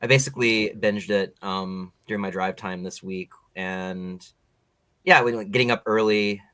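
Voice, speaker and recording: A man's voice: lowish voice, fairly even intonation, normal speed, a bit bright. An American man hosting a conversational interview show, introducing topics and putting questions to guests.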